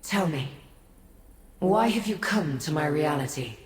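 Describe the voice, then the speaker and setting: Low, slow, echoing otherworldly goddess. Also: female voice, animated. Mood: regal, measured. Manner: measured, formal, grave. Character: solemn, weary, otherworldly female deity, regal and mysterious. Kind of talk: scripted fantasy game dialogue. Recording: echoey reverb, faint noise.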